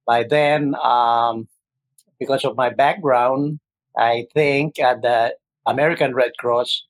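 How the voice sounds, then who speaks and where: A man from the Philippines in his sixties, a guest telling his story in a casual interview, often pausing with fillers. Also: mid-range voice, steady tone, dry recording, low-quality recording. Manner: hesitant, frequent uh fillers.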